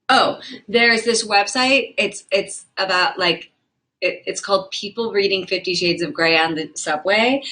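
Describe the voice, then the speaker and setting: Young woman streamer, casual and giggly, bright voice, rambling chat host. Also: mid-range voice. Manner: chatty, giggly, lots of fillers. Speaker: livestream host. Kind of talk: casual chat reading viewer comments.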